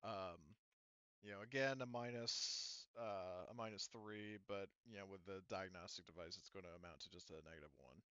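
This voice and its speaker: A man acting as game master, explaining rules and story in a casual, halting, conversational way. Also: low voice, bright, forceful tone, smooth, dry recording, muffled recording. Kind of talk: casual tabletop game narration and rulings.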